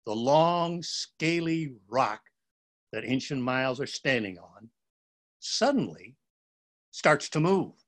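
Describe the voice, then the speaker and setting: Male storybook narrator, expressive and theatrical, very varied pitch. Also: slow, pauses often. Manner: expressive, dramatic delivery. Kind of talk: animated children's storybook narration.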